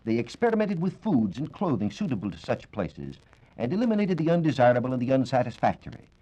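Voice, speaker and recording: An American man giving a formal, measured, scripted science talk as a reporter on 1940s radio. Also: medium pitch, big pitch swings, mellow. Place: radio studio. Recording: dull, band-limited 1940s radio recording.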